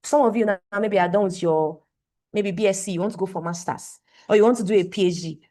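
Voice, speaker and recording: A woman's voice: medium pitch, quick pace, warm tone. A young woman on a video call, giving an emphatic motivational talk at a summit. She often repeats phrases and asks "right?". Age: young adult. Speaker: conference speaker. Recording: online call audio.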